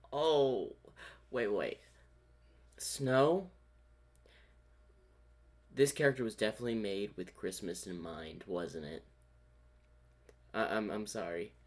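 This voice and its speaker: A man hosting a reaction video, musing aloud in casual commentary. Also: mid-range voice. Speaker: reaction host.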